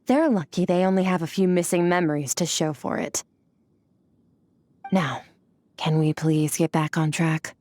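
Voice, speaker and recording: A woman playing an aloof, powerful warrior in an anime game, speaking in a measured, stern, dismissive manner. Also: on the low side, darkish tone, natural voice. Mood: cold, dismissive. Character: aloof, powerful female warrior, coldly blunt. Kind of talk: anime game dramatic voice acting.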